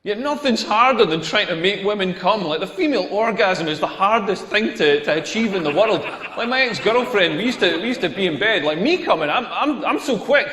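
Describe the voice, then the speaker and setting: A man's voice: high-pitched, animated, fairly quick, hardly any pauses, bright, forceful tone. A man performing crude, rambling stand-up comedy and repeating himself for effect. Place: live comedy venue. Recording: echoey hall with long reverb.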